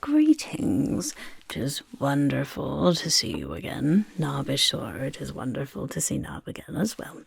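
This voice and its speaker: A woman acts out a chaotic little goblin overlord for an ASMR roleplay. The voice is husky and often whispered, unhurried yet swooping up and down. The goblin fusses and bosses eagerly, repeating words. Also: rumbling low, wildly swooping pitch, full character voice. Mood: gleeful welcoming. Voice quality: raspy, creaky whisper. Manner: speaks of self in third person, fussy, repeats words. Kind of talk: soft-spoken ASMR personal attention roleplay.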